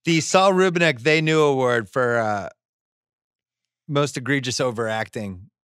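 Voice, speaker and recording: A man's voice: mid-range voice, unhurried, fairly bright tone, clear, resonant tone, no room sound. A middle-aged man hosting a casual movie podcast, speaking close to a studio mic. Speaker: podcast host. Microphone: broadcast mic on a boom arm, close.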